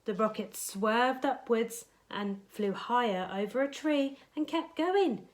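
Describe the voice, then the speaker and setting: A woman's voice: fairly high pitch, animated, slow. A woman reading a children's bedtime story aloud, expressively dramatising it for young listeners.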